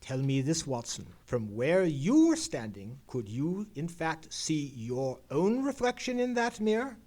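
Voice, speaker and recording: An actor playing a knowing gentleman detective in an old-time radio play, speaking in formal, measured phrases with dramatic pauses. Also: fairly high pitch, big pitch swings. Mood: probing, ominous.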